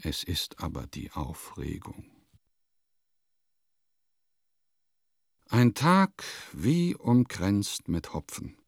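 An actor playing a wry diarist of bygone provincial life in a radio play, with deliberate, declamatory phrasing. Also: male voice, on the low side, huge pitch swings, heavily acted. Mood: musing. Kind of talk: literary radio play monologue.

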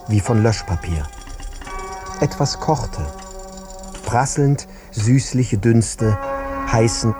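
A man narrating literary prose for an audio drama in measured, separate short phrases. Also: lowish voice. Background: prominent music.

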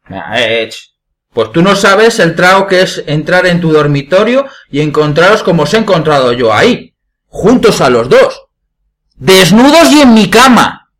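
A man's voice: high-pitched, big pitch swings, measured pace, fairly bright tone, exaggerated voice. A man from Spain acting an indignant, blustering cuckolded husband in a radio play farce, with a Spanish accent. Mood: defensive, aggrieved.

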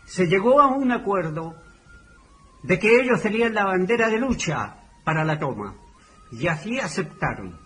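A man's voice: high voice, a bit bright, low-quality recording. An older man with a Chilean accent giving commemorative testimony, speaking in short, emphatic, declamatory phrases, partly from notes. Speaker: community elder giving testimony. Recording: clear background noise.

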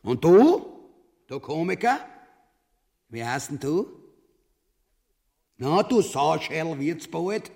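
A man's voice: fairly high pitch, very animated, slow, gravelly, long pauses, darkish tone, exaggerated voice. A man with an Austrian-Bavarian accent playing a crude, greedy, bullying tyrant king in a grotesque radio play, pompous and coarsely abusive. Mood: gruff, contemptuous. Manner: pompous proclamations sliding into coarse insults. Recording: long hall-like reverberation.

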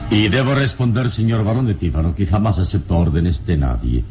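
An actor playing a serene, proud heroic adventurer in a radio drama, measured, dignified and theatrical. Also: male voice, low voice, expressive, over music. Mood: firm, serene.

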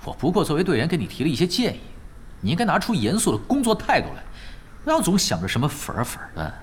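An actor playing a laid-back, glib gaming veteran who teases and coaxes in an audio drama, with mock-serious turns. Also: male voice, on the high side, wildly swooping pitch, fast, a little hiss or hum. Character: laid-back teasing male gaming veteran coaching his boss. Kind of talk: Chinese audio drama acting.

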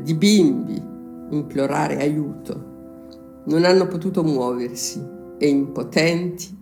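Creaky, grave reader over guitar music. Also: mid-range voice. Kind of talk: dramatic literary reading of a war testimony. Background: plucked guitar music.

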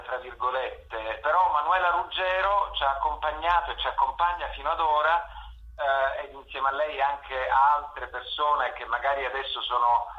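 A man explaining his work as an interview guest, haltingly searching for the right words. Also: a little high, pushed and bright, reverberant, lo-fi sound.

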